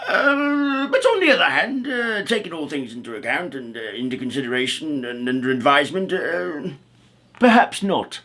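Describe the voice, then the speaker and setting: A man's voice: a little high, lively intonation, normal speed, pushed and bright. A man voicing a doddery, teasing wizard from a children's storybook in a pompous, rambling way. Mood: pompous dithering.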